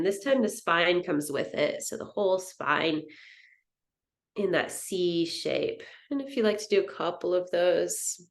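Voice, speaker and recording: A woman teaching a guided meditation in a soothing, gentle, unhurried manner. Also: fairly low pitch, gravelly. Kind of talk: guided yoga nidra meditation.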